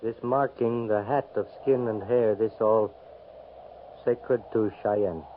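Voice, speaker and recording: A man acting as a solemn, mournful scout who speaks plain, halting broken English in a 1950s radio western. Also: on the low side, fairly even intonation. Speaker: supporting actor in a radio western. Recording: muffled 1950s radio recording, faint noise.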